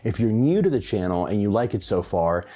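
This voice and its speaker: A man in his thirties or forties hosts a hi-fi how-to video. His voice sits fairly low, with a dark, relaxed tone. He reads scripted instructions step by step and enunciates them clearly. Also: brisk. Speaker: host of a hi-fi audio channel. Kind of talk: scripted tech tutorial presented to camera. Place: small home room, indoors.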